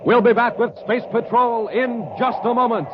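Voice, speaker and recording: A man's voice: very high-pitched, normal speed. A man announcing and narrating in 1950s radio style, punchy and theatrical. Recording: muffled, hissy old radio recording.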